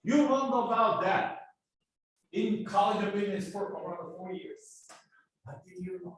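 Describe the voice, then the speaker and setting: Korean-accented guy teaching business, high lively voice, super echoey room, mic far off. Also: a little slow, a little hiss or hum. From South Korea. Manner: quizzes students with repeated rhetorical questions. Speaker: business professor. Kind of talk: classroom lecture.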